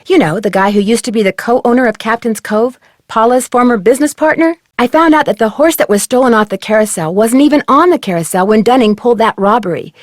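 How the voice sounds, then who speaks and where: A woman playing an inquisitive, polite young American detective in a video game, speaking earnestly and clearly. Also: a little high, fast talker, few pauses, no room sound, studio quality, close to an everyday voice. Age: young adult. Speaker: player character in an adventure game. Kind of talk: scripted video game dialogue.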